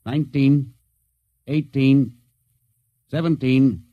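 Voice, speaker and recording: A man acting as a spaceship captain in a 1950s radio play. He has an authoritative, clipped delivery and a short temper, with a medium-pitched voice. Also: fairly even intonation, takes it slowly, lo-fi sound, a little hiss or hum, natural voice. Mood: brisk command.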